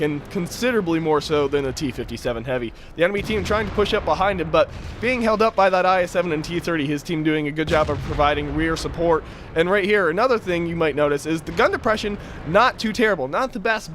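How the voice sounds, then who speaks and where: Raspy-voiced young man commentating gameplay. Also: on the high side, noisy background. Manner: casual and chatty, with frequent fillers. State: raspy, just woke up. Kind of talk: game replay commentary.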